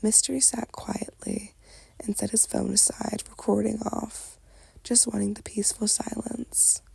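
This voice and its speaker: A woman softly narrating an ASMR story in a breathy, crackly whisper. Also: medium pitch, level tone, a little slow, no room sound.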